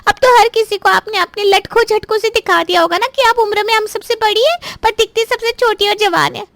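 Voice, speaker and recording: A woman's voice: very high voice, steady tone, fairly quick, fairly bright tone, dry recording. A woman voicing a jealous, scheming young sister-in-law in a Hindi cartoon story. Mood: accusing, resentful.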